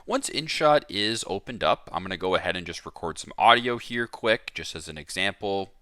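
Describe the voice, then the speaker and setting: Male tutorial host, low-pitched with animated intonation; straightforward, instructional read for an app walkthrough. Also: normal speed, no room sound, clean studio sound. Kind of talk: step-by-step app tutorial voice-over.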